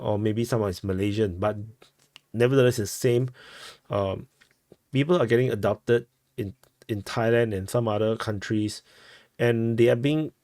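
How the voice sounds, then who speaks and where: A man with a Singaporean accent giving informal news commentary as a host, halting and often rephrasing himself. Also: mid-range voice, darkish tone, no room sound. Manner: halting, repeats and rephrases phrases.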